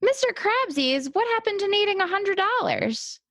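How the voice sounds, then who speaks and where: A woman's voice: extremely high pitch, big pitch swings, normal speed, fairly bright tone, no echo, clean studio sound. A woman podcast host improvising comedy as herself, conversational, in a bemused straight role.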